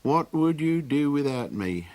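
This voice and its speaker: A man's voice: on the high side, sing-song, measured pace, a little mellow. A man voicing a scatterbrained old male Golox creature who rambles and hesitates in an audiobook. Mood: boastful. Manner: rambling, hesitant with many ums. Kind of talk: audiobook character voice.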